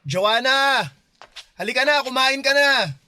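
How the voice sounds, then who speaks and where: A man's voice: very high-pitched, expressive, slow pace, bright, forceful tone, full character voice. A man playing an obsessive, excuse-making young boyfriend in a Filipino radio drama. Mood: alarmed, defensive.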